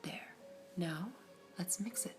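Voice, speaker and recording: A woman voicing a calm, formal female gem who serves tea, speaking in a soft, polite ASMR whisper with a slightly low voice. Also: takes it slowly, loud music behind the voice. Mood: serene. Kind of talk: ASMR roleplay.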